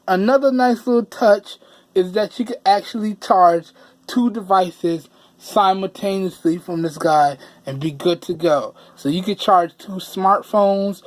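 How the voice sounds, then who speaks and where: Hoarse man chatting through a gadget review. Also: high-pitched, measured pace. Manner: chatty, repeats himself for emphasis. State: hoarse, losing his voice. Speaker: product reviewer.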